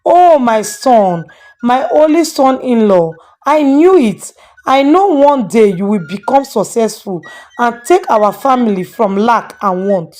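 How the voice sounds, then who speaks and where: A woman's voice: on the high side, expressive, unhurried, a little mellow, faint music behind. A woman storyteller voicing a doting, jubilant older mother in a moral folktale. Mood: jubilant, praising. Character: doting, jubilant older mother praising her son-in-law.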